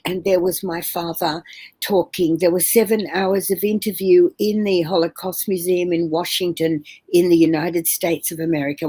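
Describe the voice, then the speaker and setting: A woman in her seventies telling her personal story as an interview guest on a video call from home, earnest and halting with many fillers and restarts. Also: fairly low pitch, darkish tone, breathy edge. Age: 70s. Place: home room.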